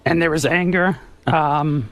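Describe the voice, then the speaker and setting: A young man telling a personal story in a radio interview, speaking earnestly and haltingly. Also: on the high side, a bit bright, no echo.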